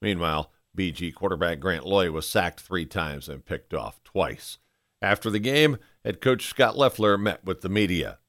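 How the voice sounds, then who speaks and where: A male sports broadcaster delivers a scripted game recap. His voice is low and cuts through with a bright, forceful edge. The sound is very dry. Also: lively intonation, moderate pace, no echo. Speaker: sports broadcaster and show host.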